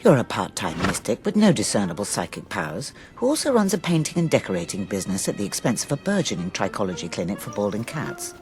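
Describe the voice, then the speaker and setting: A commanding woman seer with a cool, lively, rising and falling delivery. Loud music plays behind her. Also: very deep voice, fast talker, hardly any pauses. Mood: aloof. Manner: aloof, cutting, commanding. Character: cold, cryptic woman seer.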